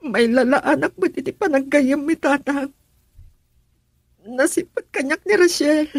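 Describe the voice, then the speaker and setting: A woman's voice: high voice, unhurried, dry recording. An actress playing an anguished young woman in a radio love drama, with an emotional, pleading manner. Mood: tearful, wounded.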